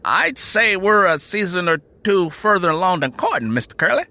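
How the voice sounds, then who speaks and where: A man playing an earnest, polite but determined young rural churchman with a steady, respectful, folksy way of talking, in an audio drama. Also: high voice, moderate pace, pitched above the performer's own voice, exaggerated voice. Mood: nervous, respectful.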